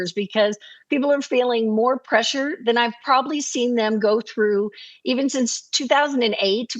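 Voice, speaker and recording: A woman's voice: fairly high pitch, dull audio. A woman in her sixties, a wellness coach guesting on a podcast, talking in a chatty, upbeat way. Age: 60s. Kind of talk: casual podcast chat.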